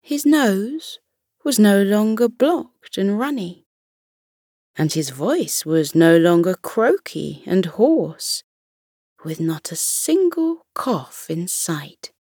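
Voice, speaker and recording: A woman narrating a children's bedtime story in a slow, soothing style. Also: mid-range voice, sing-song, warm tone, slightly rough, no room sound, studio quality.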